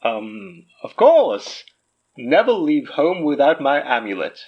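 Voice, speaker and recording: A man's voice: on the high side, big pitch swings, takes it slowly, exaggerated voice. A man playing a courteous, smug mystic guru in a horror audio drama, with a genteel, theatrical manner. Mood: affable. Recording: slightly dull sound.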